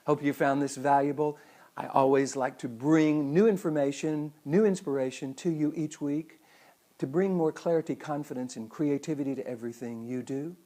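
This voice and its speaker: A man's voice: fairly high pitch, fairly even intonation, moderate pace, dark tone. A middle-aged man giving a motivational talk as a host, with earnest, emphatic phrasing. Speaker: psychologist and speaker hosting a weekly show.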